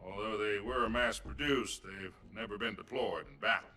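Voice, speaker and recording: A man playing a grave American president under pressure, measured and diplomatic, in a dramatic game cutscene. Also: fairly low pitch, bright, forceful tone, soft background music. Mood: grave, regretful.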